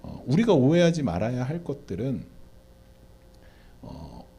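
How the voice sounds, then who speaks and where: A man's voice: mid-range voice, mellow, husky. A man who is a pastor, answering questions at church in a preaching style, often addressing the audience and answering his own questions.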